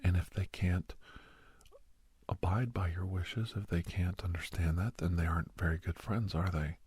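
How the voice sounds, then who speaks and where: A man playing a doctor who counsels a young person in an ASMR roleplay, mostly whispering in a slow, breathy, reassuring but firm manner. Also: low voice, fairly even intonation, a little mellow, dry recording. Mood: gentle, advising. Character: male doctor gently counselling a young werewolf for college.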